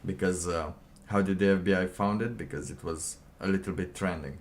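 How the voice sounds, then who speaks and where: A man giving casual livestream commentary and reading documents aloud, halting and rambling, often pausing mid-sentence. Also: low-pitched, fairly even intonation. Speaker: livestream host.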